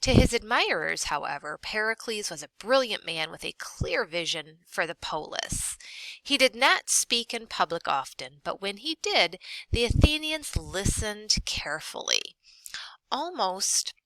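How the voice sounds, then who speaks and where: A woman reading a textbook aloud as a teacher, in a deliberate, expressive, instructional manner. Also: mid-range voice, dry recording, low-quality recording. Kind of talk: read-aloud lesson narration.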